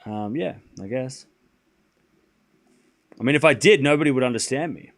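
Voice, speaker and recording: A man with a light Australian accent calling tennis on a live stream, getting excited on rallies and muttering between points while answering chat. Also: medium pitch, normal speed. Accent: Australian, softened. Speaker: streamer commentator. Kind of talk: live play-by-play commentary with chat.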